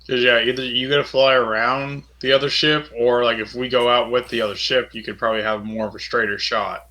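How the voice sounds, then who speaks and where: A man's voice: mid-range voice, level tone, fairly quick, pushed and bright. A man playing a tabletop role-playing game, talking casually about what his character will do.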